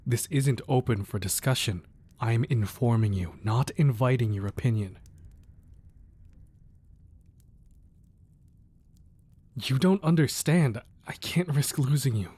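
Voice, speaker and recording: A man playing a protective, ruthless crime boss who is tender with his wife, speaking softly and earnestly in an intimate romantic ASMR roleplay. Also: mid-range voice, expressive. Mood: firm, pleading. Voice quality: soft, husky, breathy. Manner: earnest, measured, firm yet affectionate.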